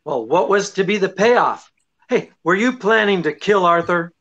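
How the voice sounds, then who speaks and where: A middle-aged actor playing a suave, teasing detective with a wry, unhurried delivery in old-time radio comedy style. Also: male voice, sits high, lively intonation, fairly bright tone, heavily acted. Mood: brisk. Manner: unhurried, wry, hesitating for effect. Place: indoors, small draped room. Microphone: video call. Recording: muffled video-call audio.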